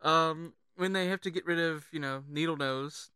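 A podcast co-host talking casually and informally about films, animated and sweary. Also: mid-range voice, normal speed, clean tone, no room sound. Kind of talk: casual film discussion.

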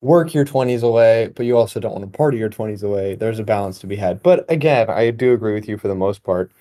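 Young man with a mellow, soft-edged voice. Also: lowish voice, quick pace. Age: 20, young adult. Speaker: podcast host. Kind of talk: casual conversational podcast chat.

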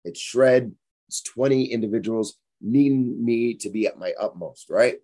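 A hoarse, tired man in his forties, hosting a fitness podcast in a frank, rambling motivational monologue. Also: mid-range voice, normal speed, mellow. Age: 40s. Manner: rambling, frank, repeats himself.

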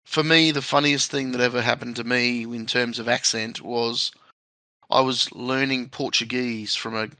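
A man hosting a casual podcast, chatty and quick to laugh at his own stories. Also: mid-range voice, fairly even intonation, bright, forceful tone, no echo. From Australia. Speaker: podcast host.

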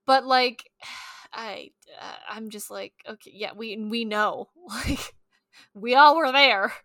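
Bright, higher-voiced woman in rambling chat. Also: slow, no echo, studio quality. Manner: informal, rambling, sweary. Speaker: podcast co-host. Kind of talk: casual chat.

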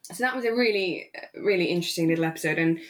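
Low-pitched woman, chatty co-host. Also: very fast. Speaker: podcast co-host. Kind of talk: relaxed discussion of a radio drama.

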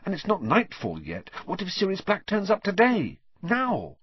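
A man's voice: huge pitch swings, normal speed, slightly rough, no echo, muffled recording, full character voice. A man voicing an anxious, rule-minded teenage schoolgirl in a storybook reading, in a fretful, pleading manner. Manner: fretful, pleading, high and breathless.

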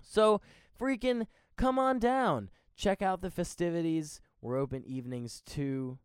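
A man's voice: on the high side, unhurried, smooth, no room sound, studio quality. Wry male podcast host. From Nebraska, US. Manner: wry, sarcastic, theatrical. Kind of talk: playful show intro and casual interview.

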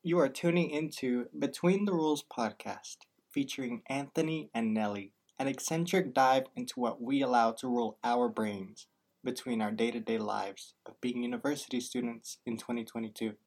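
A man's voice: medium pitch, darkish tone. Playful nineteen-year-old male podcaster. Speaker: podcast co-host, business student. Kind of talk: scripted intro, then casual chat.

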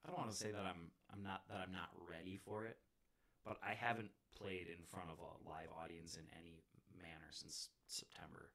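Low-voiced man in casual conversation. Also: steady tone, a little fast, a bit bright. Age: 40s. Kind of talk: casual chat.

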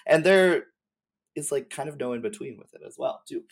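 A man's voice: fairly high pitch, expressive, moderate pace. A young man chatting casually about gaming as a podcast guest, effusive and slangy, peppering his talk with "like". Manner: effusive, slangy, uses "like" heavily. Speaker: podcast guest, game streamer.

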